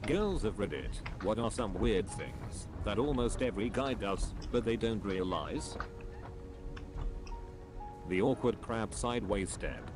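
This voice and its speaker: A man with a somewhat low voice reads forum posts aloud at a flat, steady pace. Clear music plays underneath him the whole time. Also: a little slow. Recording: music playing loudly behind.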